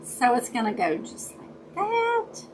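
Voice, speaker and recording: A woman with a raspy voice, chatting casually with her viewers during a crafting livestream and greeting them by name. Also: fairly high pitch, huge pitch swings, takes it slowly, slight background noise. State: raspy voice, dry throat. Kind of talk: casual chat while crafting.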